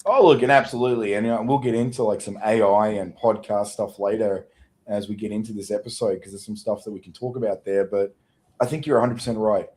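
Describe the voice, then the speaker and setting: Mellow-sounding guy cohosting a podcast, laid back and chatty. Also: on the low side, fast talker. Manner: relaxed, conversational, chuckles easily. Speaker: podcast co-host. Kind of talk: casual chat.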